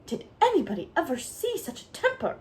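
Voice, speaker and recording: A woman's voice: mid-range voice, sing-song, a little fast, close to an everyday voice. A woman voicing a blunt, gossipy older village woman who talks emphatically. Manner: emphatic, speaks her mind.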